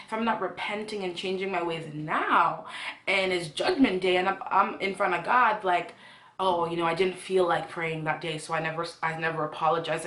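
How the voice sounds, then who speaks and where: A woman's voice: lowish voice, a bit bright. A young woman from Ghana giving a casual, earnest devotional talk to viewers in a conversational way. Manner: earnest, conversational, poses questions to listeners. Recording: echoey room.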